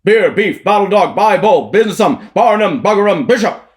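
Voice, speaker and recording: A man's voice: high-pitched, lively intonation, moderate pace, pitched above the performer's own voice. A man chanting as rowdy marching students. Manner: loud incoherent chanting. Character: rowdy marching band of young male students.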